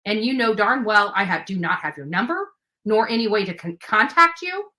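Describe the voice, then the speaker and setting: A woman's voice: mid-range voice, big pitch swings, normal speed, bright, forceful tone. A woman livestream host delivering an impassioned, confrontational rant, emphatic and indignant, with some swearing.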